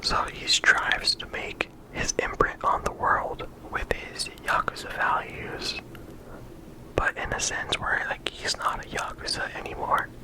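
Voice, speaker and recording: A person whispering in ASMR style, talking through a discussion in a casual, halting way with lots of filler words. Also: poor audio. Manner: casual, halting phrasing with frequent "like" and "you know". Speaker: video creator and host.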